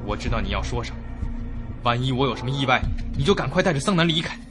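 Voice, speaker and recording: A man's voice: medium pitch, fast talker, over music, natural voice. An actor playing an uneasy young man, telling a ghost story in a suspenseful, dramatic first-person style. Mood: tense, resolved. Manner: suspenseful, expressive. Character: uneasy young man narrating his haunted inn ordeal.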